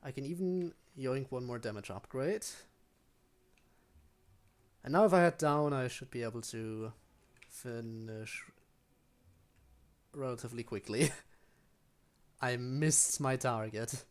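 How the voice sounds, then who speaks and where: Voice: medium pitch, slow, long pauses. Casual game streamer with a mid-range voice. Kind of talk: casual live gameplay commentary.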